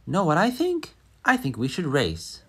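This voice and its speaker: Guy doing a bold friendly boy hare from a kids book, pitched up and lively. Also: measured pace, husky, exaggerated voice. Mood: playful challenge. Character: friendly, challenging male hare in a storybook.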